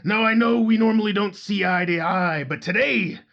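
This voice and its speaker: A man voicing a grandiose, imposing male wrestler who booms his taunts at a rival. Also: moderate pace, gravelly, muffled recording. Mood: grandstanding. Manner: booming, declamatory.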